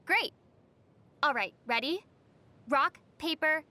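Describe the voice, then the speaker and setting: High-voiced gentle young adventurer. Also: female voice, animated, slow pace, no echo, full character voice. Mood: playful, curious. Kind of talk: video game character acting.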